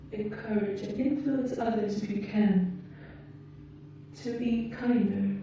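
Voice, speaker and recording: ASMR roleplay: actress as gentle female alien guardian, solemn and measured, very mellow; muffled, distant recording with hiss. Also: mid-range voice, close to an everyday voice. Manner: measured, pausing, gently lecturing. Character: gentle, solemn female alien protector healing an injured human. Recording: muffled, very echoey, distant, hissy background noise.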